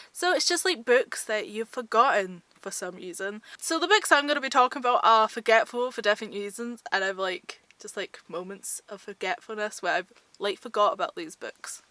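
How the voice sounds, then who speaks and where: High, bright-voiced woman chatting casually. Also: no echo. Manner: informal and self-deprecating. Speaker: book vlogger. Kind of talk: casual book chat to viewers.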